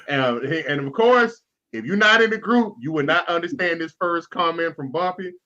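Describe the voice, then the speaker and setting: Bright-voiced Texan man hosting sports chat. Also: fairly high pitch, animated, measured pace, long pauses, highs cut off. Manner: laughs often, slangy storytelling. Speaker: show host.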